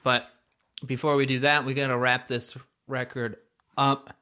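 A man's voice: medium pitch, flat delivery, unhurried, bright, forceful tone, clear, resonant tone. Laid-back male podcast host. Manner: laid-back, jokey, swears casually. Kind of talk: casual podcast chat.

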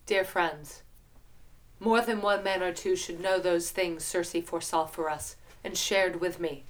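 Casting: a woman as a solemn ship's captain for verse audio drama, deliberate and commanding of a crew. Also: on the low side, fairly even intonation, lightly acted, poor audio. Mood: grave, confiding. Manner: grave, deliberate, poetic. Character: Odysseus, grave male sea captain addressing his crew.

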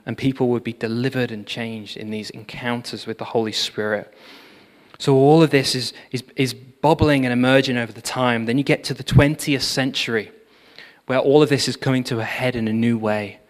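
Man, preacher, storytelling sermon, animated, echoing church hall. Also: medium pitch, moderate pace. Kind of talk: sermon on revival history. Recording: long hall reverb.

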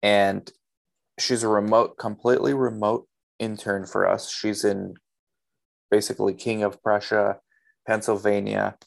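Chatty man hosting, creaky voice. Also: lowish voice, level tone, unhurried. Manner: informal, chatty. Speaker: podcast host. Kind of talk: casual interview chat.

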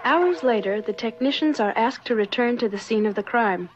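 A woman delivering scripted documentary narration in a formal, measured manner. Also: a little high, slight background noise. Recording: dull lo-fi old film soundtrack. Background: music.

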